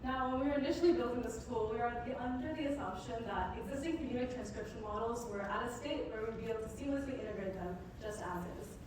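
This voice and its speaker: A woman co-founder giving a rehearsed startup pitch to present a product. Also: quick pace, few pauses. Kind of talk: rehearsed demo-day pitch. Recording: echoey hall from far off, noisy.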